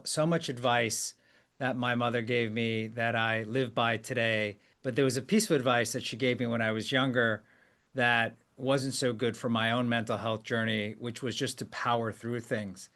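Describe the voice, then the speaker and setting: A man's voice: mid-range voice, fairly bright tone. A man hosting an interview, chatting in an affirming way with frequent "hmm"s and "wow"s. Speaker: podcast host, company CEO. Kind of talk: conversational interview.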